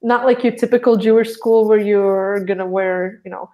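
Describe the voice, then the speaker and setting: A woman's voice: mid-range voice, fairly even intonation, normal speed, dark tone. A young woman from Russia, a podcast guest talking casually and rambling with many restarts.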